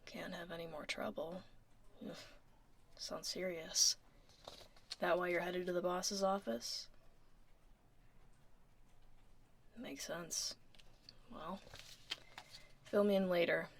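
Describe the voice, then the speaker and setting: A woman playing a blunt but caring dragon lady at the office in a soft-spoken, casual ASMR roleplay, with a dry, low-key manner. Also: steady tone, slow, creaky, pauses often, a little hiss or hum. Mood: serious, casual.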